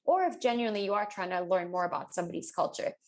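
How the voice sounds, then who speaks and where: Animated woman chatting on a podcast. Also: medium pitch, rapid-fire. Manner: animated, acts out quoted people in a raised voice. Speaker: podcast co-host. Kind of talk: casual podcast chat.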